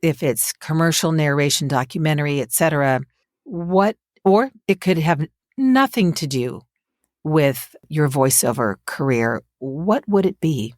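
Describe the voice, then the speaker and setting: A woman's voice: sits low, expressive, unhurried, dry recording, clean studio sound. A woman hosting a podcast, interviewing a guest in a conversational way.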